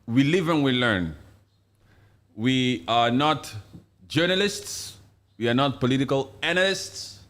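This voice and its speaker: A man's voice: medium pitch, lively intonation, a little slow, pauses often, a bit bright. A man from Africa co-hosting a casual live stream, talking with passion and repeating his points for emphasis. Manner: impassioned, emphatic, repeats phrases. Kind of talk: casual political chat.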